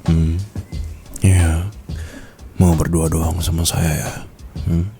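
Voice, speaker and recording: A man's voice: deep voice, monotone, slow, over music. A man playing a strict, flirty and possessive male office boss in an intimate ASMR roleplay, commanding and teasing, with questioning tags.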